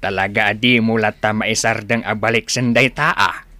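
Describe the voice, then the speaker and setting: A man's voice: on the low side, steady tone, moderate pace, sharp tone, dry recording. A man acting in a radio drama as an easygoing, doubtful village drinking pal with an Ilocano accent.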